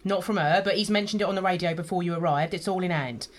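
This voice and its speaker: A woman's voice: lowish voice, fast talker, natural voice. A woman playing a hard, scornful smuggler, curt and sardonic, in an audio drama. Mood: commanding. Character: bossy, sarcastic female gun smuggler, hard and scornful.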